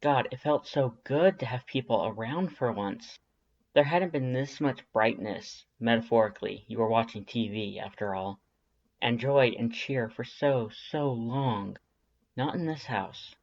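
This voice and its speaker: A man's voice: medium pitch, measured pace. A man reading scripted second-person fiction as an audiobook narrator. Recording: slightly dull lo-fi audio.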